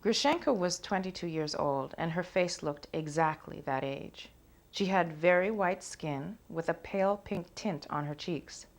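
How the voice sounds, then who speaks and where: An actress playing a composed witness, reciting a literary account in a dramatic monologue. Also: female voice, lowish voice, a little slow, soft background music. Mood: measured.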